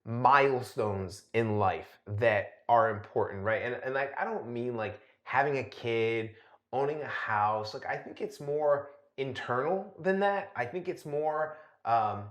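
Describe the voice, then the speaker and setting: A man's voice: medium pitch, a little slow. A man co-hosting a podcast, talking casually as he thinks aloud and checks for agreement. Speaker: podcast co-host. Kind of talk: casual discussion. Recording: roomy, echoey sound.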